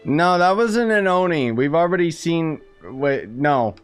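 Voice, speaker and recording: A man's voice: fairly high pitch, animated, measured pace, faint music behind. A young man giving casual, slangy live commentary as he streams a game. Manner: slangy, reactive, chatty.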